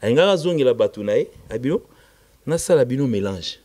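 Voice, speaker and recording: A man with a Congolese accent and Lingala as his first language speaks as an interviewed musician, emphatic and repetitive, switching between halting French and Lingala. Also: medium pitch, animated, mellow, slightly rough. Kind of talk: casual interview, recounting band history.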